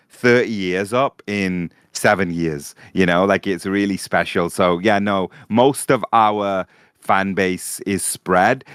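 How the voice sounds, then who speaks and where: A man appearing as a podcast guest, talking in a relaxed, casual way and peppering his speech with "like". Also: sits low, clean tone, no room sound, clean studio sound. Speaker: podcast guest, fan club member.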